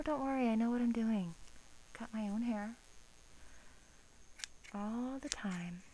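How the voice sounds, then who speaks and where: A woman playing a bored, teasing vampire guard in a soft-spoken ASMR roleplay, coaxing in hesitant, drawn-out phrases with an undertone of menace. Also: fairly high pitch, rough recording. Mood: reassuring, firm. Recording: faint background hiss.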